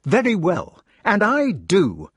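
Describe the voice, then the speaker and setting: Man as icy, sneering Mordred, pitched high. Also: sing-song, slow pace, no room sound, studio quality, heavily acted. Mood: bitter, defiant. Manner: icy, sardonic, nagging. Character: Mordred, cold sneering humpbacked knight, bitter and defiant.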